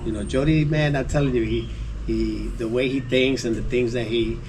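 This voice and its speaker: Halting man, fillers, noisy recording. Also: mid-range voice, fairly even intonation. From United States. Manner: halting, full of fillers and restarts. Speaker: guest actor and producer. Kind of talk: casual interview.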